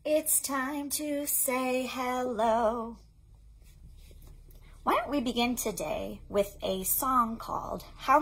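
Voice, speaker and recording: A woman in her thirties presenting a storytime for young children in an animated, sing-song, encouraging way. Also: fairly high pitch, gravelly, slightly rough, rough recording. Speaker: children's librarian hosting an online storytime. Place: small indoor play tent set up for recording.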